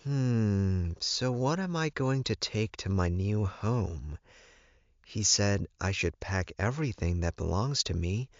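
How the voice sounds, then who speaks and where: A man's voice: fairly low pitch, animated, takes it slowly, no room sound, dull audio, voice pushed up. A man voicing a modest, polite young woman, an earnest werewolf heroine in an audiobook. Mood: musing.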